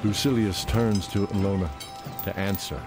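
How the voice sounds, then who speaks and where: A man's voice: low-pitched, steady tone, moderate pace. A man narrating a fantasy audio drama in a dramatic storytelling style, with music behind.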